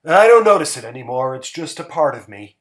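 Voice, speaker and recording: A man's voice: fairly high pitch, sing-song, fairly quick. A man voicing a weary, burdened husband in a dramatic story reading, mild, resigned and terse. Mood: weary acceptance. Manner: terse, subdued.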